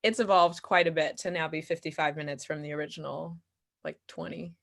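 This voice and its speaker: A young woman giving casual interview answers as a guest playwright and performer, speaking in a candid, self-deprecating, animated and giggly way. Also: low-pitched, brisk, creaky. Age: young adult.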